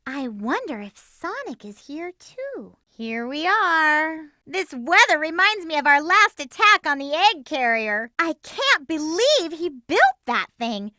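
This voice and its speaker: Very high, perky hedgehog girl. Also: bright, forceful tone, no room sound, studio quality, heavily acted. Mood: curious, chatty. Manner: perky, emphatic, exclamatory delivery. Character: spirited, lovestruck young girl hedgehog, bossy and feisty. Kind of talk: video game character voice lines.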